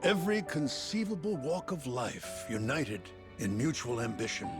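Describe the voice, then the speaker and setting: Guy doing a pompous fancy-talking money man from a game, very animated, loud music under it. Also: medium pitch, moderate pace, lightly acted. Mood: grand, triumphant. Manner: pompous, florid oratory. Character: theatrical grandiloquent New York financier giving a toast. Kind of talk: dramatic video game cutscene acting. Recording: music behind the voice.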